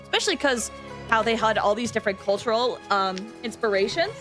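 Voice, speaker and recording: A woman's voice: fairly high pitch, a little fast. A woman streaming a game with casual, exclamatory commentary, often musing aloud. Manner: animated, exclamatory, muses aloud. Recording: faint game music behind.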